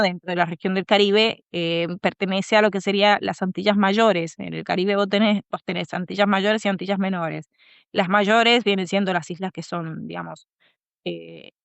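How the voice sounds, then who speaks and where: A woman from the Dominican Republic, a guest in an interview, talking thoughtfully and pausing to find her words. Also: mid-range voice, creaky, a bit bright, no room sound, highs cut off. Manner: thoughtful, pauses to search for words. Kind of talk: conversational interview.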